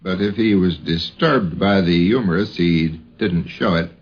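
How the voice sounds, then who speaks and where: Slow, low-voiced man on old radio. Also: breathy edge. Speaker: newspaper columnist. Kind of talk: literary reminiscence. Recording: dull 1950s radio recording.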